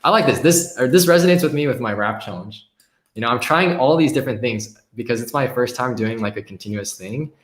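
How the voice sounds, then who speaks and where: A man hosting a live stream, reading quotes aloud and reacting in a relaxed, upbeat way with frequent laughs. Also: medium pitch, a little fast, echoey room, poor audio.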